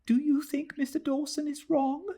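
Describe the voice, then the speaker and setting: A man voicing a distraught, fragile young gentlewoman with a plaintive, pleading manner in a classic novel reading. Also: very high voice, flat delivery, normal speed, voice pushed up. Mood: anxious, pleading. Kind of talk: classic novel audiobook character voice.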